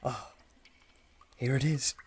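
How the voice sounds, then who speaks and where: An actor playing an earnest, cautious archaeologist in a pulp adventure audio drama, bookish, polite and excitable. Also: male voice, medium pitch, takes it slowly, breathy edge. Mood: absorbed, excited.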